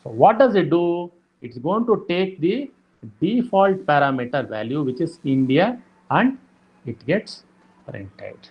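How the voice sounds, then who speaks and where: Halting man teaching code, lo-fi. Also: high-pitched. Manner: halting, many fillers and repeated "okay". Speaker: programming teacher. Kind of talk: lecture explaining code.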